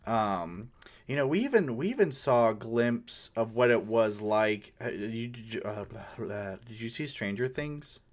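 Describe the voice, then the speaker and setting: A man co-hosting a casual conversation about tabletop gaming, talking in an informal, rambling and halting way. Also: fairly low pitch, lively intonation. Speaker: co-host.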